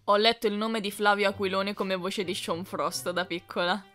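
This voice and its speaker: A woman's voice: medium pitch, steady tone, moderate pace, fairly bright tone. A young woman chatting casually as she reads out and answers questions. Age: young adult. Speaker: YouTube fandubber answering fan questions. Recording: music playing behind. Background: music.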